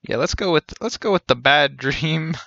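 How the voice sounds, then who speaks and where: A man's voice: fairly high pitch, expressive, moderate pace, gravelly, bright, forceful tone, no room sound, muffled recording. A man in his thirties giving casual, joking live commentary on a game in a wry way. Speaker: game streamer.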